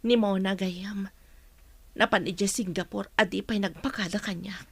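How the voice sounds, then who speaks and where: A woman's voice: mid-range voice, fairly even intonation, unhurried, slightly rough, no echo. A woman playing a caring, long-suffering middle-aged mother in a radio drama. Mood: sad, resigned.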